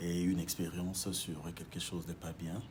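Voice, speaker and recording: Deep-voiced talk show host. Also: male voice, little pitch movement, normal speed, a little mellow, slight background noise. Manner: conversational, switches between French and Lingala.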